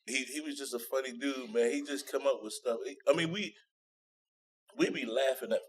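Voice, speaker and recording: A man's voice: medium pitch, brisk, slightly rough. A man chatting informally as a podcast guest, telling anecdotes and repeating phrases for emphasis. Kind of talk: casual chat, anecdotes.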